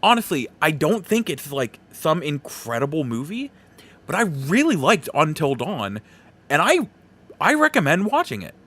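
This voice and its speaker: A man's voice: a little high, sing-song, moderate pace, fairly bright tone, husky. A man hosting a podcast, talking casually and informally through a solo movie review with rambling asides. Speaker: podcast host.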